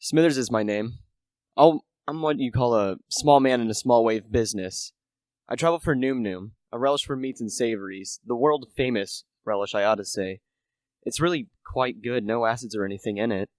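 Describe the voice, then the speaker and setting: A man's voice: medium pitch, normal speed, no room sound, lightly acted. A teenage student actor playing a humble small-time salesman, hesitant and confiding, in an amateur radio play. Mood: confiding, rueful. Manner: hesitant, self-effacing, confiding. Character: humble, deferential small-time relish salesman narrating his tale. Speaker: high school drama student.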